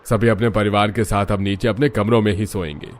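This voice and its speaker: A man's voice: lowish voice, fairly even intonation, brisk, faint music behind, natural voice. A man playing an authoritative village headman addressing people in a cartoon moral story. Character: village headman, authoritative and agreeable community leader.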